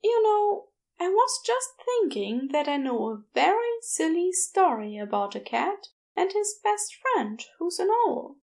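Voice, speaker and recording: A woman voicing a gentle, doting man who soothes a child with coaxing endearments in an audiobook-style story. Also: extremely high pitch, big pitch swings, measured pace, gravelly, hardly any pauses, pitched above the performer's own voice, heavily acted. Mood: soothing, cheerful. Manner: soft endearments, coaxing, reciting.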